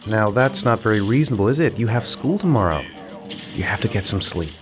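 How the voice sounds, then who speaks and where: An actor playing a gentle, patient father looking after his young son in a video game cutscene, soft-spoken but gently firm. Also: lowish voice, lively intonation, brisk, noisy background, lightly acted.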